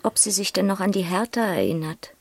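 An actress as a narrator in a German radio play, giving terse, clipped commentary. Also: female voice, medium pitch, brisk, vocal fry. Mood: narrating. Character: female narrator, wry commenting chorus voice. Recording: loud music behind the voice.

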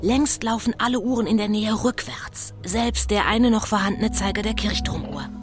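A woman's voice: mid-range voice, lightly acted. Actress doing a dramatic lady reporter talking into her mic for a kids ghost audio play, music behind. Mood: suspenseful reporting. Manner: dramatic, deliberate. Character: sensation-hungry female local newspaper reporter, breathless, dramatic. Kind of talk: radio play acting as live on-the-spot report.

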